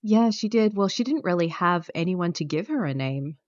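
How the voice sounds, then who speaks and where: A woman with a very low voice talks through her answers in relaxed conversation, pausing often. The sound is dry and a little dull. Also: no room sound. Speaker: guest player. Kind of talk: casual interview answers.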